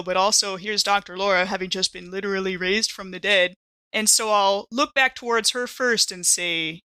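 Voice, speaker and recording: Bright, crisp-edged woman playing a tabletop game. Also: medium pitch, dry recording. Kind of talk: casual chat, partly speaking in character.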